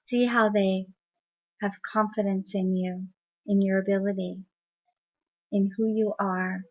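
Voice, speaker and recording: A woman leading a guided meditation in a soft, soothing, unhurried voice. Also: medium pitch. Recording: dull lo-fi recording.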